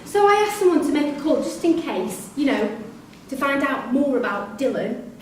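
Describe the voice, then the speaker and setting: An actress in a live staged reading playing a disillusioned, confrontational prison worker with an emphatic, pointed delivery. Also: female voice, high voice, very animated, moderate pace. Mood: accusing. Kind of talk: live theatre acting. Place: theatre performance space in Salford. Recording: echoey hall, distant mic, faint noise.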